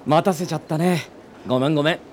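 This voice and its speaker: A man's voice: fairly high pitch, fast, slight background noise, close to an everyday voice. An actor playing a polite, hesitant young male detective deferring to a superior in a radio drama. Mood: eager, hesitant.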